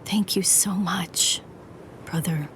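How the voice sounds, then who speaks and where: Slow, solemn condemned young warrior. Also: female voice, fairly low pitch, a little hiss or hum. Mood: wistful, inward. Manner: solemn, deliberate, softly resolute. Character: condemned young woman warrior, selfless, calm, resigned. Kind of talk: scripted anime game dialogue.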